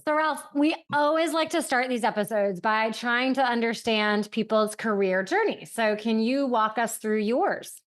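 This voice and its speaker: A woman's voice: fairly high pitch, unhurried, few pauses. A woman co-hosting a podcast, chatting playfully and steering the talk back on track. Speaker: podcast co-host. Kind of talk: casual podcast banter.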